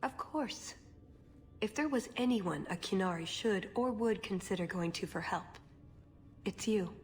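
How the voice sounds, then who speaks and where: An actress as a measured, guarded female spy. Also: medium pitch, a little hiss or hum, natural voice. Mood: measured, candid. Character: female Qunari spy, earnest, guarded, dryly witty. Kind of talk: video game voice acting.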